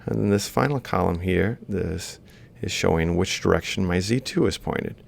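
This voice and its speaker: Very creaky, low-voiced man lecturing. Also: moderate pace, slight background noise. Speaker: university robotics lecturer. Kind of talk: informal step-by-step worked-example lecture. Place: screen-recorded lecture voice-over.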